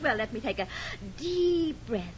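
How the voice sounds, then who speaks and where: A woman's voice: animated, unhurried, a crowd in the background, noisy background. An actress playing a gossipy, teasing middle-aged neighbor woman in a 1940s radio comedy, theatrical and giggly in her exclaiming. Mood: teasing, amused. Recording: muffled, rumbling 1940s radio recording.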